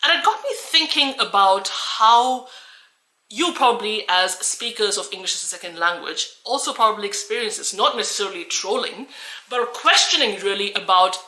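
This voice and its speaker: A woman's voice: medium pitch, bright, forceful tone, roomy sound, low-quality recording. A woman who teaches English, telling a personal story to camera in an earnest, emphatic way. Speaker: English teacher and channel host.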